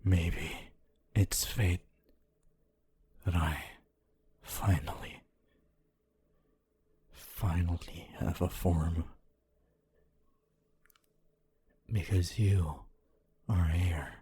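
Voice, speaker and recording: A very deep, heavily breathy male ghost, performed by a man for an intimate ASMR roleplay. He is a centuries-dead scholar, gentle and wistful. His formal phrases come out very slowly, with long pauses. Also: steady tone, exaggerated voice. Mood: tender wonder. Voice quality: low, breathy, husky.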